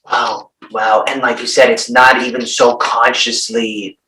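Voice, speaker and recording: A man's voice: medium pitch, flat delivery, unhurried, sharp tone. A male podcast host who asks questions and encourages his guest, restating the guest's points. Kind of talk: casual interview. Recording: roomy, distant from the mic.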